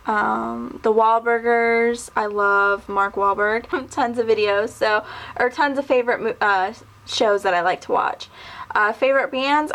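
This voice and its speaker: A woman's voice: a little high, a bit bright. A woman in her twenties chatting casually to camera, rambling through a list of questions with lots of fillers. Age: 20s. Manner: rambling, chatty, lots of ums and little laughs. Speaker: vlogger. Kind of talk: casual tag video answering questions.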